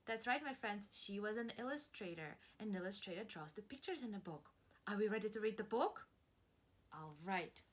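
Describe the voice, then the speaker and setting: A woman teacher reading a picture book aloud to preschoolers, stopping to ask questions and encouraging the listeners in a deliberate, dramatic way. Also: medium pitch, animated. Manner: slow, deliberate and dramatic, stretching words, pausing to ask questions; encouraging, praising and addressing listeners as friends. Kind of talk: interactive picture-book read-aloud for young children.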